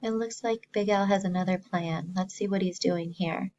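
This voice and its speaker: A woman teacher reading a story to young children, expressively, pausing to explain simply and ask the listeners questions. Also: mid-range voice, fairly even intonation, a little mellow, muffled recording.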